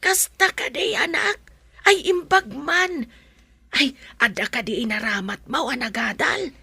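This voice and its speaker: A woman playing a fretful older mother in a radio drama, with a sharp, gravelly voice and a plaintive, questioning manner. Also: high-pitched, lively intonation, measured pace, no room sound, heavily acted. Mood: pleased, curious. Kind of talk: Ilocano radio drama acting.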